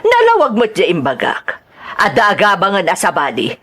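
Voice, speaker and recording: A woman's voice: medium pitch, wildly swooping pitch, moderate pace, a bit bright. A woman with an Ilocano accent playing a harsh, scolding, money-minded mother-in-law in a radio drama. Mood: scornful, angry. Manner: scornful, scolding.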